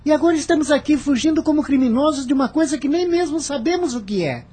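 Bitter young man in a radio soap, really high mellow voice, protective, full of reproach. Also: animated, fast talker. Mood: bitter, resentful. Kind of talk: radio soap opera acting.

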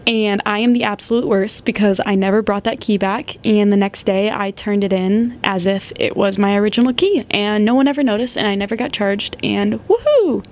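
A young woman in her twenties tells a story on her podcast in a loose, chatty way. She giggles often and fills her sentences with "like." Her voice sits at a middling pitch for a woman. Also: moderate pace, few pauses, a little mellow. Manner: chatty, giggly, heavy use of "like". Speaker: podcast host. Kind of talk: casual storytelling.

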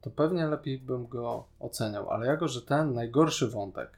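A man's voice: medium pitch, moderate pace, gravelly, a little mellow. A man co-hosting a podcast, casually critiquing a series in an opinionated, sarcastic way. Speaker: podcast co-host reviewing a TV series.

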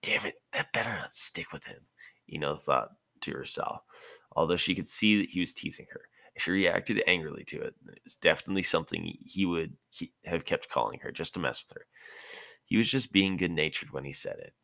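A man's voice: sits low, fairly quick, gravelly, sharp tone, dry recording. A man narrating fan fiction as an amateur audiobook, sometimes stumbling and rereading phrases.

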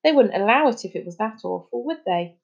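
A woman's voice: on the high side, lively intonation, brisk, vocal fry, darkish tone, lo-fi sound. A woman voicing an easygoing, dismissive male celebrity in an audiobook, with chatty, clipped casual phrasing. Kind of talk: audiobook character dialogue.

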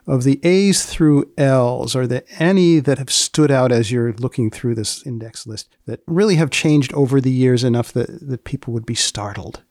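Wry, gravelly male podcast host. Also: medium pitch, darkish tone, no room sound, studio quality. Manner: mock-formal, wry.

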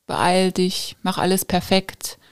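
A woman with a fairly low voice hosts a podcast. She speaks in a calm, encouraging, reflective way, like a coach guiding her guest. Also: slow pace, smooth. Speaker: podcast host. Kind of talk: casual podcast conversation.

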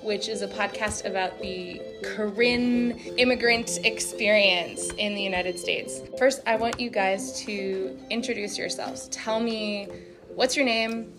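A woman's voice: mid-range voice, fairly even intonation, measured pace, music in the background. A woman hosting a podcast interview in a small studio, speaking in an upbeat, enthusiastic way. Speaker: podcast host.

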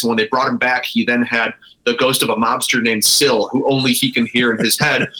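A man's voice: mid-range voice, bright, forceful tone, low-quality recording. A man speaking casually and enthusiastically as a guest in a conversational interview. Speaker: guest, brewery co-owner.

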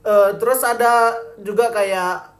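A man's voice: high voice, steady tone, slow pace. A man chatting casually in a group, enthusiastic and nostalgic about old cartoons. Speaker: podcast co-host. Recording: roomy echo.

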